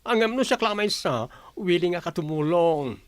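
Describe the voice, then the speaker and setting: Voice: mid-range voice, expressive, normal speed, no room sound, lightly acted. An actor playing a sassy, teasing, campy friend with a Filipino accent, exclamatory in radio drama style. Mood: gossipy.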